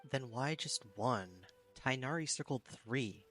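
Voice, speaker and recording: A man voicing an expressive, easily exasperated young male game character with an animated, questioning fan-dub delivery. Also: medium pitch, measured pace, lightly acted.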